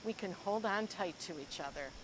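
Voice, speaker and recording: A woman reading a picture book aloud to young children, with expressive, deliberate and dramatic phrasing. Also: medium pitch, noisy background. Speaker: school librarian reading story time.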